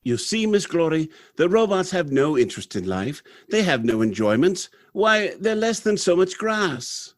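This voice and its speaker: A man's voice: a little high, big pitch swings, normal speed, few pauses. An actor playing a proud, unsentimental robot factory manager in an old-time radio drama, theatrical and confident as he explains things. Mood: breezy, boastful. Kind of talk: live recreation of 1930s radio drama.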